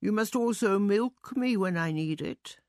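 A placid storybook cow, put on by a woman. She talks in slow, drawn-out, mooing tones, practical and unbothered, in a dry sound. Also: medium pitch, expressive, darkish tone, no echo, close to an everyday voice. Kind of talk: storybook character voice.

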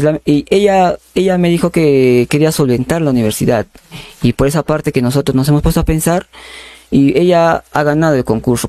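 A young man making a prank phone call as a polite, insistent recruiter, halting and repeating his words. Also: fairly high pitch, warm tone, dry recording. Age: young adult. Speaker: prank caller. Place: small bedroom studio indoors. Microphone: condenser microphone on a boom arm, close.

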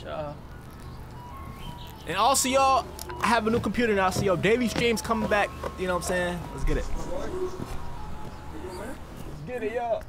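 Excitable young man, high lively voice. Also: normal speed, pauses often, music in the background. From US East Coast. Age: young adult. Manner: excitable, rambling, says "bro" constantly. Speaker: music reaction streamer. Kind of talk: casual reaction commentary. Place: home streaming room, indoors. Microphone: headset with desk mic close up. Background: faint song playing.

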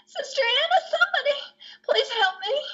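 A woman's voice: extremely high pitch, expressive, moderate pace, sharp tone, full character voice. A woman voicing a terrified young girl with gasping, pleading cries in an online play reading. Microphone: video call. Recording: dull video call audio.

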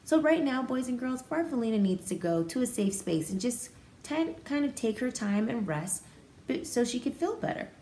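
An American woman reads a picture book to small children in an echoey room with faint noise behind her. Her voice is mellow and very animated, and she pauses to explain things with emphasis, like a teacher. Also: on the high side. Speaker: pre-K teacher. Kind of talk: picture book read-aloud with commentary for children.

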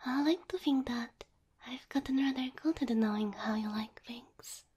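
A woman performing an ASMR roleplay as a gentle, doting cow-girl girlfriend, speaking softly and tenderly into a close microphone. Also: sits high. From Slavic Eastern Europe. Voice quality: soft, breathy. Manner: tender, slow, coaxing.

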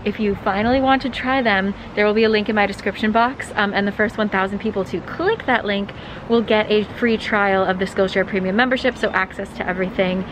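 A young adult woman chatting casually to camera in an animated, informal, digressive way as she vlogs. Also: medium pitch. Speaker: vlogger and host. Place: outdoors on windy city streets. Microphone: handheld camera at arm's length. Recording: wind and street traffic noise.

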